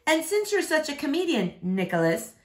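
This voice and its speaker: A woman's voice: sits high, sing-song, normal speed, voice pushed up. A woman voicing a stern, sarcastic female English teacher in a storybook, clipped and pointed.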